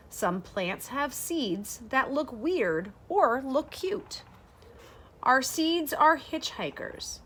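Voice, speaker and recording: A woman reads a children's picture book aloud in a fairly high voice. Her pitch rises and falls in a lively way, and she takes her time, placing the rhymes deliberately and explaining as she goes. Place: small sunroom with large windows, indoors.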